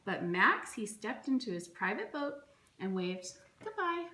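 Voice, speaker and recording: A woman reading a children's picture book aloud in a dramatic, expressive and deliberate way. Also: on the high side. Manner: expressive, deliberate, with exclaimed asides. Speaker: storybook reader for children. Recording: roomy echo, faint background noise.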